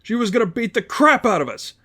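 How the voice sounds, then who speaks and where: A man voicing a big, hot-tempered male henchman with a gruff, blunt, agitated manner in a storybook reading. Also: very high-pitched, big pitch swings, fairly quick, bright, forceful tone, pitched above the performer's own voice, heavily acted. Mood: panicked.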